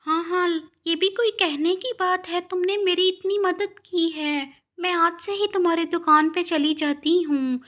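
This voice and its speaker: A woman's voice: extremely high pitch, steady tone, unhurried, hardly any pauses, fairly bright tone. A woman voicing a greedy, scheming female bird in a cartoon story who talks rudely and scolds. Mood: sweet. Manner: scolding, rude.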